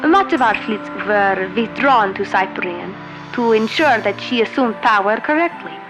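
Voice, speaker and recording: Solemn sci-fi envoy, stately and formal, highish pitch, music playing behind. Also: female voice, animated, poor audio. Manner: formal, solemn, measured diction. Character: grave, formal female leader of space fleet. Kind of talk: sci-fi audio drama acting. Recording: voice set over clear music.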